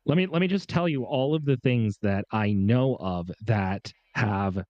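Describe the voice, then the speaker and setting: A man co-hosting a casual chat, talking excitedly and emphatically, repeating himself, a bit congested from a cold. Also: mid-range voice, measured pace, no room sound, highs cut off. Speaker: podcast co-host. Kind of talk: animated casual chat about pop culture.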